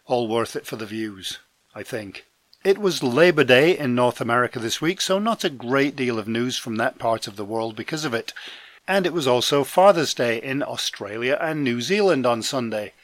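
A man hosting a podcast news roundup, his voice deepened by a head cold, reading from a script with dry, self-deprecating asides. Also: a bit bright, no echo. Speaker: podcast host and trade magazine editor. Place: home in Scotland.

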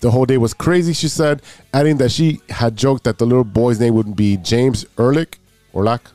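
A man's voice: medium pitch, moderate pace, a little mellow, no echo, faint music behind. A man hosting a casual sports and news podcast, sounding nasal and stuffy from allergies, rambling and joking with restarts. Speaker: podcast host.

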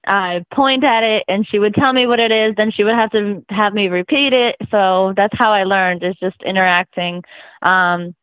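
A woman's voice: mid-range voice, moderate pace, dry recording. A young woman from Russia, a guest in a casual interview, talking in an easygoing, chatty way and laughing often. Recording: dry, tinny phone-like line.

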